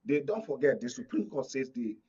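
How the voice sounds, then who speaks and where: A man's voice: medium pitch, big pitch swings, brisk, dark tone, breathy edge, dull audio. A Nigerian man speaking as an analyst on a political panel, emphatic and fond of rhetorical questions. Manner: emphatic, rhetorical questions with repeated check-ins. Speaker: studio guest, political analyst.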